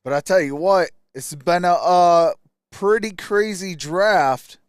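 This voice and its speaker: A man's voice: sits high, slow, clean tone, no room sound, studio quality. A man hosting a live stream, giving casual, colloquial sports commentary like a die-hard fan.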